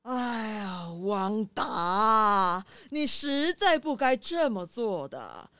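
A woman's voice: medium pitch, sing-song, very slow, sharp tone, smooth, no echo, heavily acted. A woman voicing a frail, worried old grandfather who sighs and admonishes in a children's audio drama. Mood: reproachful, worried. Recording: music behind the voice.

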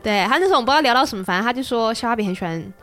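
Higher-pitched Taiwanese woman chatting. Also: expressive, rapid-fire, a bit bright, faint music behind. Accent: Taiwanese Mandarin. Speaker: podcast co-host. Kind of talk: casual chat.

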